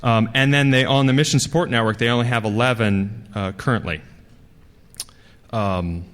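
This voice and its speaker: A man giving an informal technical talk as a conference speaker, with a halting, rambling, conversational delivery. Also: mid-range voice, fairly bright tone. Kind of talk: informal technical presentation to an audience. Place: large conference hall, speaking to a live audience. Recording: reverberant hall sound.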